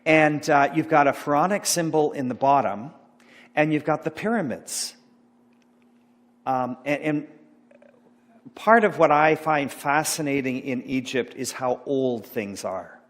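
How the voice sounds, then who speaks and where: Middle-aged guy preaching off slides, lots of ums and restarts, big reverby church hall. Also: fairly high pitch. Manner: rambling, halting, many fillers and restarts. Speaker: pastor. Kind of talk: informal sermon talk about slides. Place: church sanctuary.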